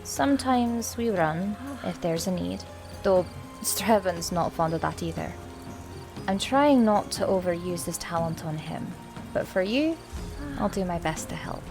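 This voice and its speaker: A woman playing a gentle, caring, soft-spoken female forest ranger in a fantasy audio drama. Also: on the low side, expressive, a little slow, music in the background. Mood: gentle, apologetic.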